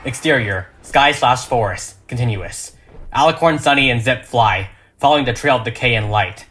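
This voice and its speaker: A man's voice: medium pitch, moderate pace, fairly bright tone, slight background noise. A man reading a fan audio drama script as a narrator, with emphatic, theatrical delivery.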